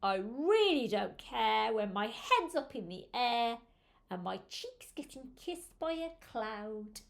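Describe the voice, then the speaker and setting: A woman's voice: on the high side, big pitch swings, slow pace, creaky, exaggerated voice. A woman reading as a proud, gangly giraffe in a children's storybook, with a haughty lilt. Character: proud, gangly giraffe holding head high.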